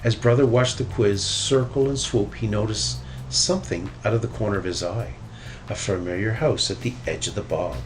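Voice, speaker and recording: A man reading a children's storybook aloud as narrator, in an animated storytelling style. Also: lowish voice, moderate pace, slight background noise, poor audio. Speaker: storybook reader.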